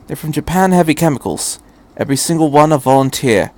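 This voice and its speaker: A man's voice: fairly high pitch, expressive, brisk, a little mellow, natural voice. Casting: man reading male doctor adventurer, breezy and in command, casual prodding delivery, audio story.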